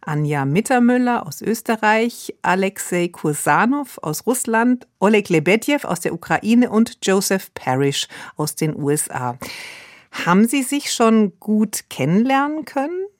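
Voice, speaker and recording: A woman hosting a radio interview, asking questions in a measured, formal way. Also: mid-range voice, very animated, no room sound, studio quality. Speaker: radio host.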